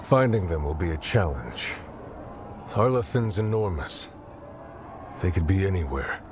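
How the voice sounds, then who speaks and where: A man's voice: sits low, lively intonation, unhurried, background noise. An actor playing a grim male fighter in a video game, terse and grave. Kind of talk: video game cutscene acting.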